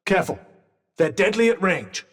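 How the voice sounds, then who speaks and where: A sardonic talking magic cuff from a video game, with a British accent and a fairly high-pitched voice. It gives urgent combat advice in eloquent, dryly witty phrasing. The voice sits a little away from the mic. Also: male voice, big pitch swings, normal speed, fairly bright tone, recorded from a distance, full character voice. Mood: urgent, instructing. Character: talking magic cuff, dry witty male battle companion. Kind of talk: video game companion voice lines.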